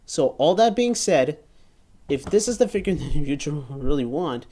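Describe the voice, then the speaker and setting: A man in his twenties giving a casual, enthusiastic hands-on review, often halting and repeating words. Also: on the high side, big pitch swings, dark tone. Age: 20s. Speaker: toy reviewer. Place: small bedroom, indoors.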